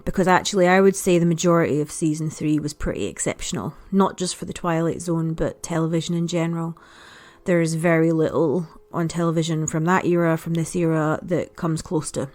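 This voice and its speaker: A woman's voice: lowish voice, a little mellow. An adult woman giving a casual spoken review as a podcast contributor, chatty, reflective and understated. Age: adult parent.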